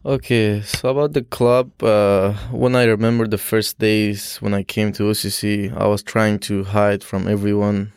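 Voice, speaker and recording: A young man from Afghanistan whose first language is Dari Persian, speaking as a student guest in an interview, halting with frequent restarts and fillers. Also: lowish voice, little pitch movement, a little mellow, smooth, no echo, studio quality. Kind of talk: casual interview.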